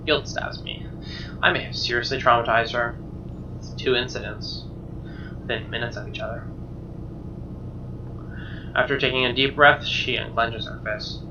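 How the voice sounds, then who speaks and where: A man's voice: medium pitch, steady tone, moderate pace, long pauses, dull audio, very noisy. Man narrating a visual novel, slightly distant. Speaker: video game player reading aloud. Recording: slightly distant mic under game music. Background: game music.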